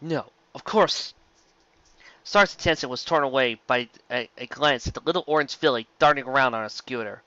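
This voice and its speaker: A man narrates a story in a bright, forceful voice. He reads like an amateur, occasionally tripping on a word and going back. The recording is very dry and somewhat dull. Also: mid-range voice, fast, few pauses, clean tone, dry recording. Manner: stumbles and rereads words. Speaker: amateur audiobook reader.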